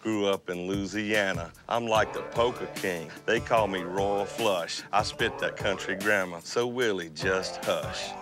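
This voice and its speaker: A man's voice: low voice, unhurried, few pauses, over music. A middle-aged man, laid-back and easygoing in a recording studio. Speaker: studio owner. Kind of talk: casual chat.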